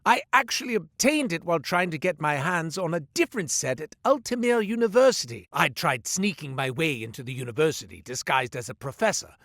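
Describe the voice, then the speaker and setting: A man's voice: a little high, lively intonation, a little fast, few pauses, a bit bright, no echo, studio quality. A man voicing a chatty, eccentric male merchant in a game, telling tall tales with comic asides in a theatrical manner. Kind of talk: scripted game voice lines.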